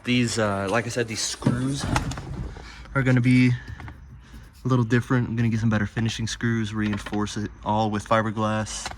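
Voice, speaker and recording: A man's voice: on the low side, flat delivery, normal speed. A man giving an easygoing, unscripted show-and-tell of a DIY project in an offhand, casual way. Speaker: vlogger showing a DIY car build. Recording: clear background noise and ambience.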